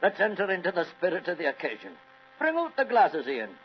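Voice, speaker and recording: A hearty old Scottish grandfather of the landed gentry, pitched high and swinging widely in tone. He exclaims his way through an old radio play on a dull vintage recording. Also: wildly swooping pitch, brisk, a little hiss or hum, full character voice. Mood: jovial, hearty. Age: older. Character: jovial old Scottish baronet, hearty grandfather, excitable host. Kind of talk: old-time radio drama acting.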